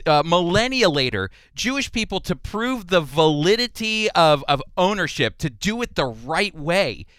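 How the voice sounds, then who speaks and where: A man's voice: a little high, sharp tone, smooth, no room sound. A man hosting a radio interview program as its presenter and teacher. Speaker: radio host and Bible teacher.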